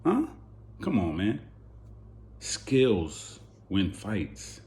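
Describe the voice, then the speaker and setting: Hoarse man ranting about boxing. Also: on the low side, huge pitch swings, drawn-out words, darkish tone. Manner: heated, repeating rhetorical questions. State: hoarse from yelling. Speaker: boxing channel host. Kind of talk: animated boxing commentary rant.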